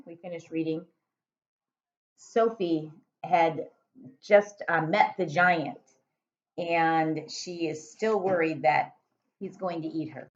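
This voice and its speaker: A woman teacher reading a children's storybook aloud to pupils with expressive narration. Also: on the low side, muffled recording.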